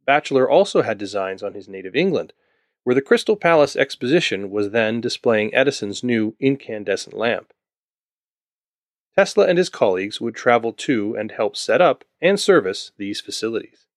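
A man's voice: medium pitch, expressive, normal speed, dry recording, clean studio sound. A man from Ontario narrating a scripted history podcast, with wry asides to the listener. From Ontario, Canada. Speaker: podcast host.

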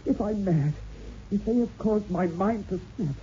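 A man's voice: high voice, unhurried, dark tone. An actor playing a frightened, overwrought man who pleads that he is sane, in 1960s radio horror style. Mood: frightened pleading. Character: terrified, raving man insisting he is sane. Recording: muffled, noisy old radio recording.

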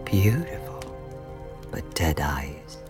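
A man narrating a scripted audio drama deliberately, with dramatic pauses. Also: rumbling low, sing-song. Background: music.

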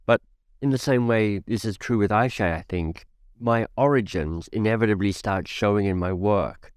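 Low-voiced podcast host. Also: male voice, moderate pace, clean tone, no echo, studio quality. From Australia. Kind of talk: scripted podcast narration.

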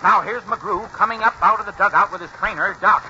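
Urgent, sharp-voiced field reporter. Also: male voice, sits high, fairly quick. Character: urgent male field-side baseball radio reporter. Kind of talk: 1940s sports commentary acting. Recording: muffled, hissy vintage radio broadcast.

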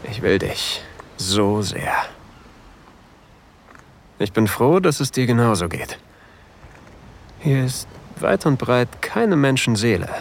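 An actor playing a possessive new lover in an intimate erotic audio drama, murmuring breathily and often whispering close to the microphone. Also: male voice, medium pitch, very animated, takes it slowly, pauses often, a little hiss or hum. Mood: tender, seductive. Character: possessive, seductive new lover, adult man, on a sailboat. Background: faint sea ambience.

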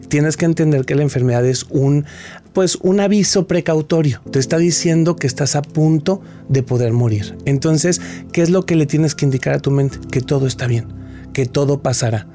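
A man's voice: mid-range voice, dark tone. A man, a guest expert answering interview questions in an explanatory way, often restarting his sentences.

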